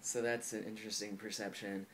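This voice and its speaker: A man's voice: on the low side, little pitch movement, brisk, fairly bright tone, a little hiss or hum. A young man in his twenties talking candidly and thoughtfully to camera for his personal vlog, with lots of likes and ums. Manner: thoughtful and candid, heavy use of "like" and "um". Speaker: video blogger. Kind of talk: casual personal vlog talk.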